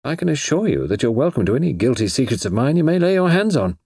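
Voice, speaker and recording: Man playing a breezy gentleman writer with swooping pitch. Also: sing-song, fast talker, slightly rough, no echo, studio quality. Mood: wry. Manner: urbane, casual, chatty. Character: nonchalant gentleman writer, breezy, wheedling, self-assured. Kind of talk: audiobook character voice.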